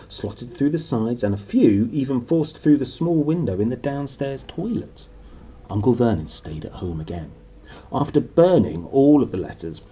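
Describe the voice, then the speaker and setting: A man's voice: mid-range voice, mellow, husky. A man narrating a storybook aloud in a dramatic, playful way. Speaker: book narrator. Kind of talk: animated storybook read-aloud.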